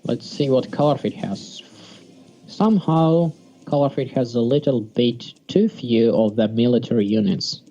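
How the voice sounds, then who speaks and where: A man from Sweden gives casual, halting live commentary on a strategy game. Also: medium pitch, mellow. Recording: dull lo-fi mic, music behind. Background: music.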